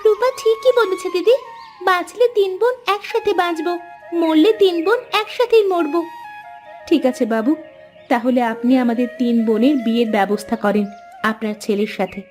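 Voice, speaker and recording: Woman doing young village sister from a cartoon folktale, really high voice, earnest and dramatic, bit of music behind. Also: fairly even intonation, moderate pace, long pauses, a little mellow, close to an everyday voice. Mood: earnest, resolute. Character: devoted young village wife, one of three brave sisters. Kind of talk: Bengali moral-tale cartoon dubbing. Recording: music under the voice.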